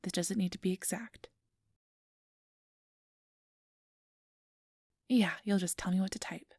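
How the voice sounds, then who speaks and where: A woman playing a kindly, apologetic registration clerk in an ASMR roleplay, speaking softly and often in a whisper with a gentle, reassuring manner. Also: mid-range voice, steady tone, fairly quick, no echo, clean studio sound. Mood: calm, patient. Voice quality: soft, breathy, often whispered. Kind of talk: ASMR roleplay, soft whispery speech to the listener.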